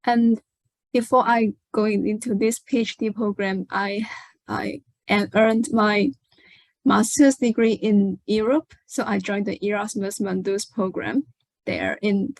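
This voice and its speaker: A young woman from Hong Kong introducing herself as a PhD student on an online panel, speaking carefully with some halting. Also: mid-range voice, fairly even intonation, darkish tone, no echo, poor audio.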